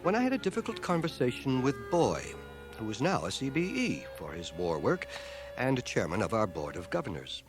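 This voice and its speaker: An actor playing a dry, ironic schoolmaster of nearly fifty in a radio drama, speaking in a measured, pedantic, sardonic way. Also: male voice, mid-range voice, huge pitch swings, over music. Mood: dry, wry narration. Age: middle-aged. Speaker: actor, narrator and lead. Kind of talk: 1980 radio drama acting. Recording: home cassette transfer of radio broadcast.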